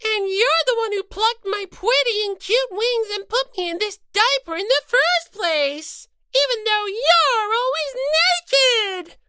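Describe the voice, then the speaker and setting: Small female rabbit mascot, very high and bright, whiny, indignant, scolding, for an audiobook. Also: expressive, slow, hardly any pauses, no echo, heavily acted. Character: small squeaky indignant little-sister rabbit mascot, easily flustered. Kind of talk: dramatized audiobook character reading.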